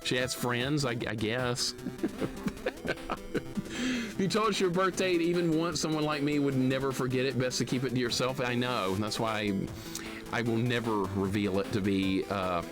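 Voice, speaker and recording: Male live streamer, mid-pitched, griping sarcastically through casual gameplay chat over prominent game music. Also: normal speed. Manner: grumbling, sarcastic complaining. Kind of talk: casual gaming commentary.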